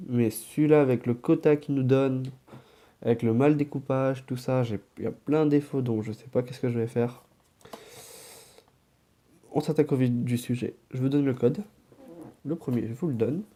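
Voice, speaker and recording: A man with a head cold and sore throat chatting casually while opening card packs, now and then muttering quiet asides as he handles the cards. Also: mid-range voice, normal speed.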